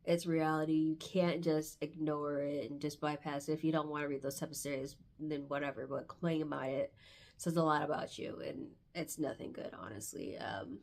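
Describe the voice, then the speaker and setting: A woman's voice: low voice, steady tone, a little fast, creaky, darkish tone. A congested woman with a stuffy nose from hay fever, chatting casually and reflectively as she reviews books, often searching for words. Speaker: book reviewer vlogger. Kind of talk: casual book review chat, with passages read aloud.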